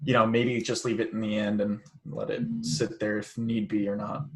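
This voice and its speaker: A woman with an unusually deep voice speaks quietly and steadily. She is chatting over a video call with her team. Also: flat delivery, normal speed, rough recording. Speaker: student team member. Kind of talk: casual video call chat.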